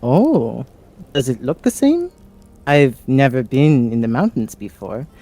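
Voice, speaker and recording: Mellow, casual tabletop player. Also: female voice, very deep voice, very animated, unhurried, soft background music. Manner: casual, sweary. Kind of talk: casual in-character role-play.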